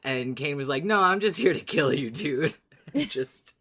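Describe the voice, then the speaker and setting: A man chatting as a podcast co-host, wry and a bit halting, repeating words as he works through his ideas. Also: fairly high pitch, animated, sharp tone, slightly rough. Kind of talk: casual fan podcast discussion.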